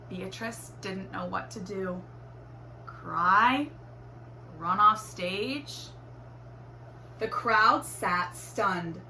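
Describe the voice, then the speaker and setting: A woman reading a children's storybook aloud in an expressive, deliberate teacherly way. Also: medium pitch. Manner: animated, deliberate storytelling with acted exclamations. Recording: roomy echo, faint background noise.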